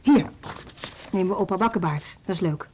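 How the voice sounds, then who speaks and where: Een actrice speelt een opgewekte muzieklerares die een leerling bemoedigend toespreekt, als tegen een kind, in een hoorspel. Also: female voice, mid-range voice, a little fast, darkish tone, slightly rough, close to an everyday voice. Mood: cheerful, encouraging. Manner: coaxing, encouraging, as to a child. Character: encouraging, cheerful woman music teacher with a pupil. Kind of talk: Dutch radio drama acting.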